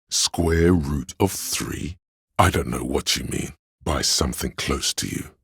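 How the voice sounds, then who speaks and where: Super deep raspy guy narrating a game, slow solemn storytelling, really dry sound. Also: no room sound. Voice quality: gravelly, husky. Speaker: video game voice-over narrator. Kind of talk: scripted literary narration.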